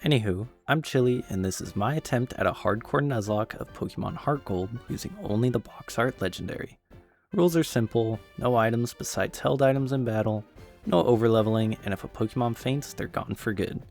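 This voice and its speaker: A man's voice: medium pitch, brisk, gravelly, darkish tone, soft background music. A man narrating scripted gameplay commentary in a casual, wry, self-deprecating way. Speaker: gaming video creator and narrator.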